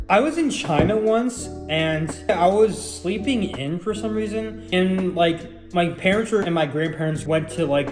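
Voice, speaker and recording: A young man in his late teens, rambling casually through viewer questions with lots of fillers and self-deprecating asides. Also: a little high. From United States. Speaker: YouTuber answering viewer questions. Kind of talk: casual Q&A chat. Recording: roomy room with background music.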